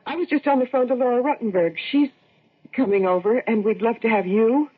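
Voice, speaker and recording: A woman playing a fretful housewife and mother barely holding herself together in a radio drama, anxious, halting and pleading. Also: a little high, husky. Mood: flustered, polite. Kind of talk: old-time radio drama acting. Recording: tinny narrow-band old radio recording.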